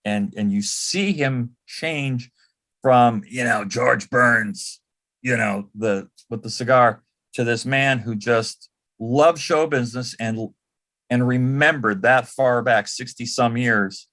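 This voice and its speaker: Older man as a panel guest, mid-pitched, swapping fond old anecdotes in a conversational, halting way. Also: fairly bright tone. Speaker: panel guest, former celebrity assistant. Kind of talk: casual anecdotal storytelling on a panel.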